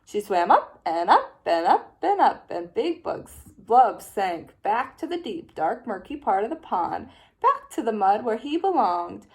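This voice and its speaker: A woman teacher reading a children's picture book aloud with expressive, playful storytelling. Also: medium pitch, a little slow.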